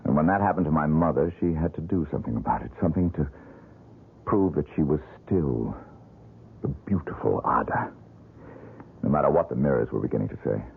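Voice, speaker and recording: An actor playing a poor, bitter painter haunted by one recurring nightmare, talking in a brooding, self-mocking way in a radio drama. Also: male voice, a bass voice, lively intonation, fairly quick, vocal fry, long pauses, husky, exaggerated voice. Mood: recounting. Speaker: struggling painter. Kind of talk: 1970s radio drama acting. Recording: tinny old radio broadcast, faint music.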